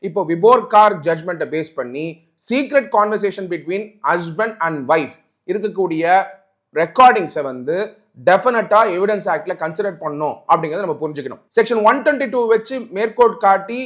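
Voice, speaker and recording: High-pitched Tamil-accented man explaining law. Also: lively intonation, normal speed, fairly bright tone. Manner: didactic, repeats and restates key points. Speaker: advocate presenting a legal explainer. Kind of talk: explanatory legal talk mixing Tamil and English.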